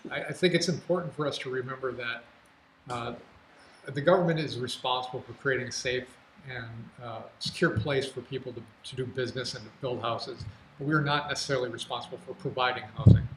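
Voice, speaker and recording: A man's voice: medium pitch, fairly even intonation, brisk, fairly bright tone. An American man giving a candidate's answer at a public forum in a studio. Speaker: city council candidate. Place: local TV studio. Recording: roomy studio, faint background noise.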